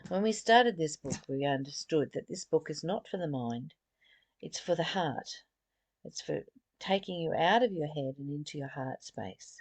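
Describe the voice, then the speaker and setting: A woman giving a reflective spiritual lesson, reading and explaining in deliberate, emphatic phrases with pauses and repetition. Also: low-pitched, animated, darkish tone, no echo. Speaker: spiritual study teacher.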